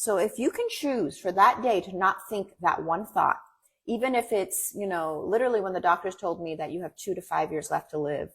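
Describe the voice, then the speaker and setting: A woman whose first language is Farsi, a guest in a casual interview, talking earnestly and encouragingly in quick bursts. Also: on the low side, slightly rough.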